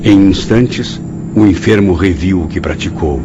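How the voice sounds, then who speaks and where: A man's voice: low-pitched, unhurried, noisy background. An actor playing an earnest male spirit who narrates his memoirs in a radio drama, solemn and emphatic. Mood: solemn, awed. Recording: dull recording with music behind.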